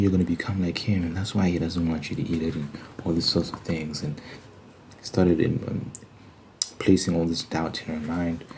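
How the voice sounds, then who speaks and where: Man, very low pitch, soft dark timbre, casual ASMR explainer, halting conversational phrasing. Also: poor audio. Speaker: ASMR video creator. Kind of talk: casual ASMR religious monologue. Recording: faint background noise.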